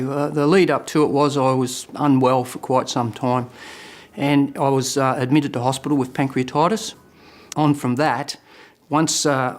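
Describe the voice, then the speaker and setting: A man in his late fifties telling his own story in a matter-of-fact way. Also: medium pitch. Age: 50s. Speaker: patient sharing his story. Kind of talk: personal testimony.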